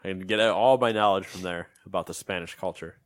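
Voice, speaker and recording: A man's voice: sits low, a little fast, dry recording, studio quality. A man co-hosting a gaming podcast in casual chat, rambling and joking, often trailing off into mumbles. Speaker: podcast co-host.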